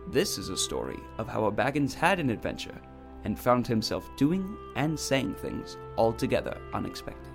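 A man's voice: medium pitch, sing-song, normal speed, vocal fry, over music. A man playing a storyteller, narrating a fantasy tale in a scripted audio drama. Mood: whimsical storytelling. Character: animated male storybook narrator.

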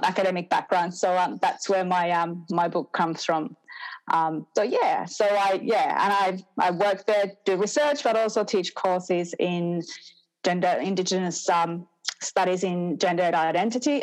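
A middle-aged woman with a Finnish accent, answering as an academic interview guest in halting, thoughtful phrases. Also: mid-range voice, rough recording. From Finland.

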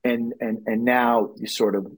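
A man's voice: mid-range voice, flat delivery, unhurried, no room sound. A middle-aged man from Louisiana, a brand strategist talking in a podcast interview, rambling with many restarts and fillers.

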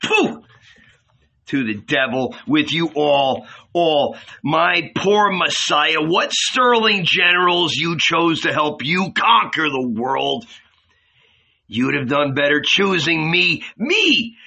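A man's voice: a little high, lively intonation, slow pace, vocal fry, bright, forceful tone, voice pushed up, heavily acted. Man acting out a bellowing, cursing tavern innkeeper. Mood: furious, scornful. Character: coarse, enraged, swaggering tavern innkeeper, scornful and blunt.

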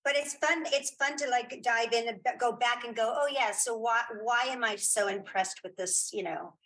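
A woman's voice: a little high, moderate pace, fairly bright tone, low-quality recording. A woman chatting casually as an interview guest, often repeating words and restarting as she goes. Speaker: guest, filmmaker and writer.

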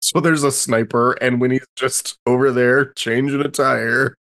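A man's voice: mid-range voice, little pitch movement, measured pace, fairly bright tone, dry recording. A man chatting casually as a player in a tabletop roleplaying game.

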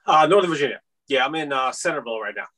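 Male podcast guest, 50s, from Iran, meandering and filler-heavy, bright tone. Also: medium pitch, lively intonation, very fast. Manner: rambling, many fillers. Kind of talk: casual interview chat.

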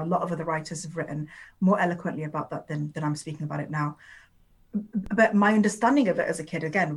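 A woman poet whose mother tongue is Urdu talks through her answers in a loose, winding way, with plenty of "like" and "um" along the way. Her voice sits on the lower side. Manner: rambling and long-winded, many filler words. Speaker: poet, interview guest. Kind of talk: casual conversational interview.

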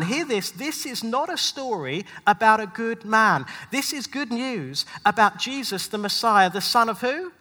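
High-pitched man preaching in a reverberant hall. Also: pushed and bright. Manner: dramatic, rhythmic, emphatic delivery with deliberate pauses. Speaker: pastor. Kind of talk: spoken-word rhyming sermon. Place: large hall at a live church event. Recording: long hall reverb, live event.

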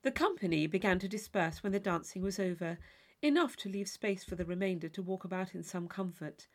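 A woman's voice: mid-range voice, a little fast. A woman reading a classic novel aloud as scripted literary narration. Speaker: audiobook reader.

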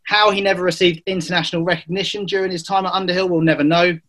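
A man's voice: high-pitched, little pitch movement, quick pace, fairly bright tone. A man with a Welsh accent hosting a podcast, joking through his introductions and asking questions with a few hesitations. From Wales. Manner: jokey, hesitant with fillers. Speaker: podcast host. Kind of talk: casual podcast chat.

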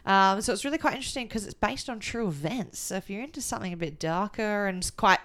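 A woman's voice: mid-range voice, animated, quick pace, a bit bright. A woman co-hosting a podcast, chatting informally and enthusiastically about what she has watched, with casual slang. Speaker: podcast co-host. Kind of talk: casual film and TV review chat.

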